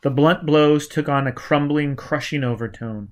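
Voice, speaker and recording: A man's voice: a little high, normal speed. A man reading a novel aloud as dramatic scripted audiobook narration. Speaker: audiobook reader.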